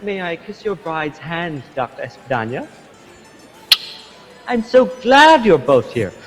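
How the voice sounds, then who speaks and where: Gallant society flatterer played by a man, suave and effusive, radio drama with music underneath. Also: fairly high pitch, measured pace. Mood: flattering. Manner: courtly, flattering. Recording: long hall reverb, music underneath.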